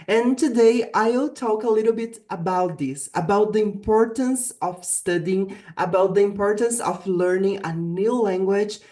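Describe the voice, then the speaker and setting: A Brazilian woman whose first language is Portuguese speaks as a guest presenter and teacher. Her voice sits at a medium pitch. She delivers a prepared talk earnestly and deliberately, stressing key phrases with a Brazilian accent. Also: dark tone. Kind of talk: prepared virtual summit presentation.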